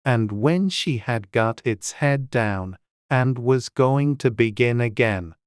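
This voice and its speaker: Audiobook narrator: mid-pitched, crisp and smooth, scripted storybook reading in a dry, close recording. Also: takes it slowly, dry recording.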